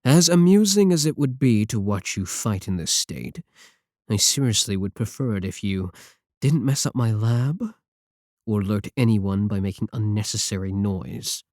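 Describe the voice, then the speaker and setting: A man's voice: lowish voice, moderate pace, darkish tone, no room sound, lightly acted. A man playing an arrogant, mocking villain scientist in an ASMR roleplay, talking close to the mic in a sarcastic, condescending way. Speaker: voice actor in audio roleplay.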